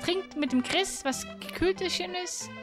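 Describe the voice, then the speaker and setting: A woman's voice: extremely high pitch, takes it slowly. A woman co-hosting a gaming livestream in casual talk, chatty and informal. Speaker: gaming stream co-host. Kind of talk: casual livestream chat and game recap. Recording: music playing behind the voice. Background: game music.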